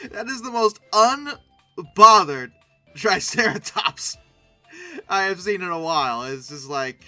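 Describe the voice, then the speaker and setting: Bright, expressive commentator with swooping pitch. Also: takes it slowly, pauses often, dry recording, soft background music. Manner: expressive, exclamatory, emphatic; sarcastic, wry asides. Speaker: video host commenting on images. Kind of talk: casual humorous commentary.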